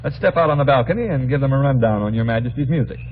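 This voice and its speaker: A man's voice: mid-range voice, lively intonation, racing. A man hosting an old-time radio variety show with scripted banter and grandiose, mock-courtly phrasing. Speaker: radio show host and announcer. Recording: muffled old radio recording, faint noise.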